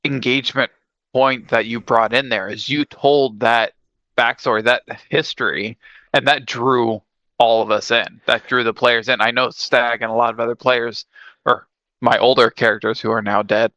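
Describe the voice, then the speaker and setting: Male co-host, bright crisp-edged tone, casual explainer delivery, very dry sound. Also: mid-range voice, fairly even intonation, moderate pace, dry recording, low-quality recording. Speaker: podcast co-host. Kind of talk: casual explanatory podcast chat.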